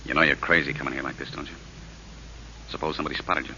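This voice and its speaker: Very deep-voiced, hard-edged gangster. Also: male voice, steady tone, fast, sharp tone, full character voice. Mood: hard, dismissive. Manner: clipped, slangy tough-guy talk. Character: tough, guarded gangster prisoner, slangy and coolly protective. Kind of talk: 1940s radio drama acting. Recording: dull, hissy old radio recording.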